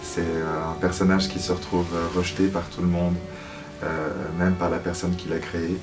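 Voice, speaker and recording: Male interviewee, low voice, hesitant and reflective, recorded off-mic with music behind. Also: level tone, moderate pace, far from the mic. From Switzerland (Lausanne), born in Paris. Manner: hesitant, searching for words. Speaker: musical theatre actor, interview guest.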